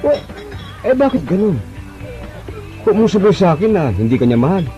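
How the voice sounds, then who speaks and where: Blunt, animated, indignant buddy. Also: male voice, sits high, brisk. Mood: concerned, incredulous. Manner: emphatic, colloquial, incredulous. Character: blunt, indignant male buddy giving marriage advice. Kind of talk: Filipino radio drama acting. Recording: dull radio recording, prominent music behind.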